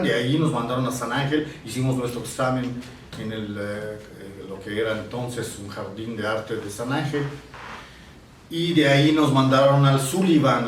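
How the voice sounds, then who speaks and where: A man's voice: mid-range voice, a little hiss or hum. Older man reminiscing from across a roomy space. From Italy. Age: 60s. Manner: reflective, pausing to search for words. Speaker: painter being interviewed. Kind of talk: reminiscing in an interview. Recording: roomy, distant mic with faint ambience.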